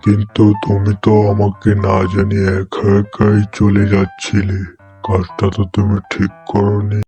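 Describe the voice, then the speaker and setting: A man voicing a bossy, unhurried male tiger in a cartoon animal story. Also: fairly low pitch, completely flat delivery, dark tone, soft background music, natural voice.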